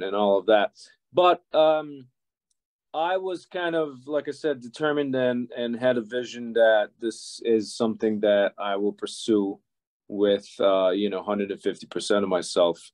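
A man in his forties with a Serbian accent, giving halting, reflective answers in a conversational interview. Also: fairly low pitch, darkish tone, dry recording. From Serbia, former Yugoslavia. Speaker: interview guest, violinist and music educator. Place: office or teaching room, indoors. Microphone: video call.

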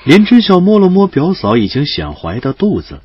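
A man's voice: a little high, wildly swooping pitch, moderate pace, husky, dry recording, dull audio. A man giving scripted narration for an audio drama.

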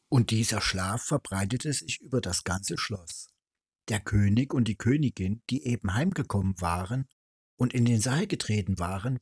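Man, storyteller, reading a fairy tale, slightly rough husky voice, dry sound. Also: mid-range voice, no echo. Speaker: storyteller, channel host. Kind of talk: fairy tale read aloud.

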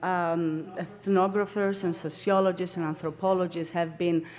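A middle-aged woman from Greece with a Greek accent gives an academic seminar lecture in a halting way, searching for words and correcting herself. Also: fairly low pitch, steady tone, darkish tone. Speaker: academic lecturer, landscape architect. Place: indoor lecture room, at a lectern.

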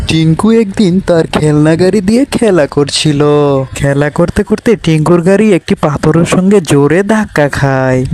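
Man, high pitch, scripted kids' story narrator, background music. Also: a little mellow. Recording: music behind the voice.

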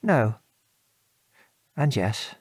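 An actor with a British accent playing a gentle middle-aged father, a former RAF man, reminiscing in an old-fashioned genteel manner in a radio play. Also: mid-range voice, very animated, drawn-out words, slightly rough, dry recording, exaggerated voice. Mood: sober, reflective. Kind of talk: radio drama dialogue, reminiscing.

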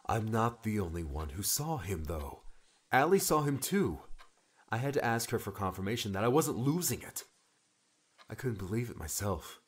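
A man playing an earnest, grieving young man in an audiobook, speaking in a quiet mutter. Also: on the low side, big pitch swings, moderate pace, slightly rough. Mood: earnest, shaken. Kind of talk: audiobook character acting.

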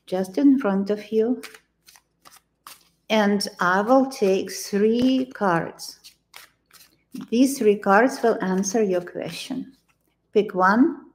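A woman in her sixties speaks with a Ukrainian accent at a slow pace. She gives a tarot reading in a living room, choosing her words with care and pausing as she goes. Her pitch sits in the middle for a woman. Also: a little mellow. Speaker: tarot reader.

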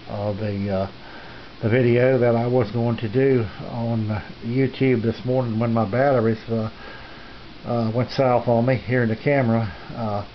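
A man narrates a casual tour of his hobby gear in a halting, hesitant, folksy ramble. His fairly middle-range voice is caught on a camera's built-in mic in a small room. The sound is dull and lo-fi, with some faint noise behind him. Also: mid-range voice. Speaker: amateur radio hobbyist presenting his equipment. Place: small indoor home radio room. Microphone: handheld camera's built-in mic, behind the lens.

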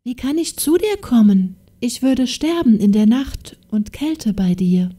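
Woman voicing a tender sun spirit from a storybook, soft lilting lines. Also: fairly high pitch, expressive, unhurried, husky, far from the mic. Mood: longing. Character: gentle loving sun lady, a fairy-tale spirit.

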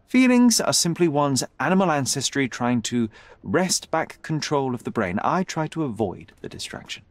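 A man's voice: mid-range voice, big pitch swings, normal speed, no echo, lightly acted. A man playing a young, brilliant detective in a video game, cool, rational and precise, with a slightly haughty manner. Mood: assertive, dismissive. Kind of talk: video game cutscene acting.

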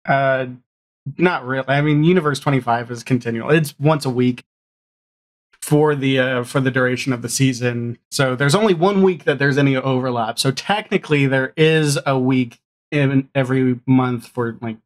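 Casual, jokey middle-aged man, medium pitch. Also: normal speed. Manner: jokey, self-deprecating. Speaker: guest, audio drama creator and podcaster. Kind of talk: casual chat. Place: small room indoors, video call.

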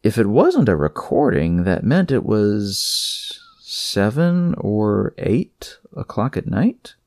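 Slow, gravelly male horror narrator. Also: on the low side, very animated, a little mellow, no room sound, clean studio sound. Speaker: story narrator. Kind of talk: scripted horror fiction narration.

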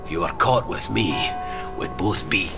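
Radio drama: bold wary outlaw, low gravelly voice, terse and commanding. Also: male voice, normal speed, music in the background. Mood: commanding, wry.

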